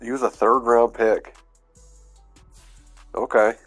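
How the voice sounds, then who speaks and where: A man's voice: medium pitch, little pitch movement, takes it slowly, faint music behind. A man with a stuffy, under-the-weather voice giving laid-back, casual commentary over a video game as he plays, thinking aloud with fillers. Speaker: gaming YouTuber.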